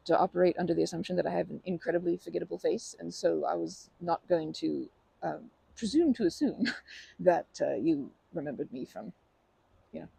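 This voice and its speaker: A performer voicing a timid, anxious, kind park-goer in an ASMR roleplay, halting and over-apologetic, with a breathy, creaky voice. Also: medium pitch, darkish tone, dry recording, full character voice, rough recording. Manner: halting, stammering, over-apologetic, nervous laughter. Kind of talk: ASMR roleplay, improvised-sounding monologue to listener.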